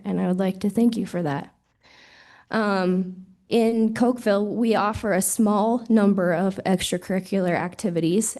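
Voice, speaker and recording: A woman's voice: mid-range voice, warm tone. A woman who has lost her voice, reading earnest, proud public testimony as a parent at a hearing, into a desk microphone. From Wyoming. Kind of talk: prepared public comment.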